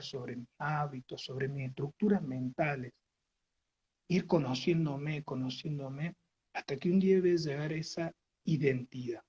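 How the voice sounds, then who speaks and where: A man's voice: fairly high pitch, a little mellow, breathy edge, lo-fi sound. A man with an Argentine Spanish accent giving a virtual lecture, explaining with many fillers and rephrasings.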